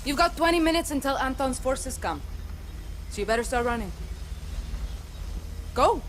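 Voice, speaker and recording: A woman's voice: high-pitched, lively intonation, moderate pace, slight background noise. An actress playing a fiery, resolute young woman who leads a revolution, with a commanding, earnest delivery in a video game. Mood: defiant. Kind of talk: dramatic video game acting. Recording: loud game music behind the voice.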